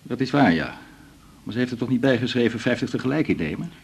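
A weary family doctor in a Dutch radio play, with a husky, creaky voice and a Netherlands accent. He speaks in measured, dryly ironic lines, hesitating now and then, while his pitch moves freely. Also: expressive, darkish tone. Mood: dryly patient. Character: weary, dry-humoured male family doctor. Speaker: radio drama actor. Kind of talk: 1980s Dutch radio drama acting.

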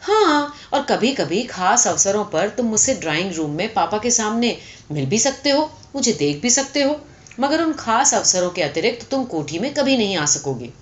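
Woman playing an imperious, animated young heiress. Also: medium pitch, close to an everyday voice. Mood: commanding. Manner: firm, sharp, dictating terms. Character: imperious, calculating young rich heiress, coolly decisive.

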